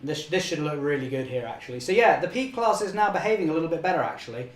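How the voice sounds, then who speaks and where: A young man, slightly hoarse, chatting cheerfully through a live model railway session and correcting himself often. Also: on the high side, expressive, quick pace, echoey room. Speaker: model railway channel host. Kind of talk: live-stream running commentary.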